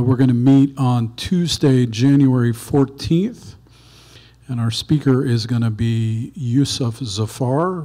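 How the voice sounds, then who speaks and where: Slow-talking man hosting an evening lecture. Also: mid-range voice, dark tone. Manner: unhurried, with many fillers. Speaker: event host. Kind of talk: introducing a speaker. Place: dark lecture hall.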